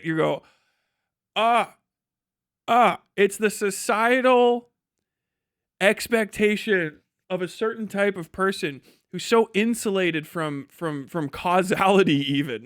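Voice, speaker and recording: A man's voice: sits high, fairly bright tone, no room sound, studio quality. A man hosting a livestream, giving casual, sarcastic and mocking reaction commentary full of swearing. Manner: sarcastic, mocking, laughs often. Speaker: livestream host.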